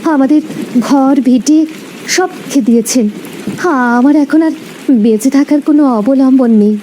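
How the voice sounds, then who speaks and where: A woman's voice: sits high, measured pace, warm tone, a little hiss or hum, poor audio. A woman playing a sorrowful, hopeless young village woman in a cartoon story, plaintive, with music behind her. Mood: despairing. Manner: plaintive, despairing.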